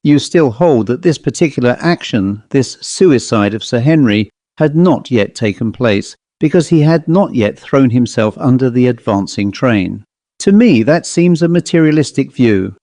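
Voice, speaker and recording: A man voicing a calm, philosophical gentleman who recounts an uncanny experience in deliberate, articulate reasoning. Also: medium pitch, no room sound, studio quality, lightly acted. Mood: philosophical, assured.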